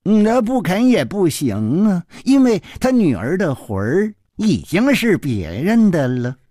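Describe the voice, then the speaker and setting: Pouty young lad, played by a male narrator, naive yet pleased with himself, higher voice, storybook style. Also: sing-song, a little slow, mellow, no echo, clean studio sound, full character voice. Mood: smug. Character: sulky, naive half-grown boy, smug at his guesses.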